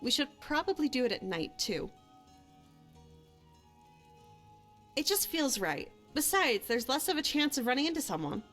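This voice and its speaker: A woman's voice: sits high, very animated, fairly quick, fairly bright tone. Bold young adventurer woman voiced by an actress, music underneath. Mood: confident, reassuring. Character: young woman adventurer and hunter, guilt-haunted, bold, reassuring companion. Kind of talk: fantasy game companion voice acting.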